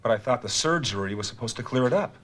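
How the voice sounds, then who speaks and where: A man's voice: mid-range voice, animated, fast talker, a bit bright, close to an everyday voice. A man acting a worried, skeptical middle-aged heart patient in a medical dramatization. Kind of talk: acted scene in a medical dramatization. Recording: noisy room ambience.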